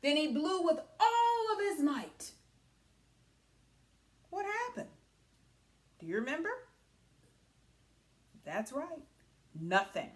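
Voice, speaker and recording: A woman teacher reading a story aloud to young children, with dramatic, deliberate phrasing and hushed asides. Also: sits high, very animated, echoey room.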